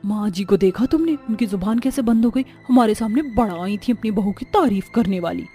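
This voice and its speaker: A woman voicing a scheming, spiteful young sister-in-law in an animated story, mocking and accusing. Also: mid-range voice, brisk, husky, faint music behind.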